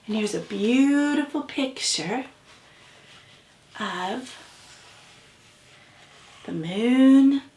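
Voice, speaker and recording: Woman, children's read-aloud, high-pitched, very slow, playful, interactive, speaks straight to the listener. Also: animated, a little mellow, reverberant. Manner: playful, addressing listeners directly with questions. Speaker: children's author reading her book aloud.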